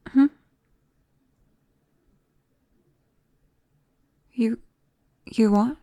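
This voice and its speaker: A British woman playing a nervous, tender vampire best friend in an ASMR roleplay, speaking softly and haltingly with drops into whispers. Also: on the high side, no room sound, studio quality. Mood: flustered, startled. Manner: hesitant, halting, breaking into whispers. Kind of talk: ASMR roleplay, soft intimate acting. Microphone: close microphone, dry.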